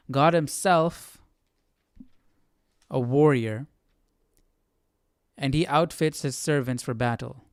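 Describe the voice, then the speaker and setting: A man with a smooth, clear, mid-pitched voice talks through a study passage informally. He is reflective and a little hesitant as he reasons aloud, recorded close and dry. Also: no echo. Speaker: live Bible study host.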